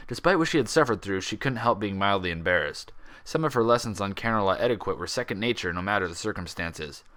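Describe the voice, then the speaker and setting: Low-voiced man narrating a story. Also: expressive, quick pace, fairly bright tone. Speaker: fan fiction reader. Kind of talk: dramatic audiobook-style scripted narration.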